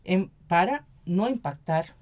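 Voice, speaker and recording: A woman's voice: on the low side, lively intonation, slow, darkish tone. A Costa Rican woman giving earnest, explanatory interview answers as an activist, often checking in with short tag questions. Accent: Costa Rican Spanish. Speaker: interview guest, environmental activist and municipal council member.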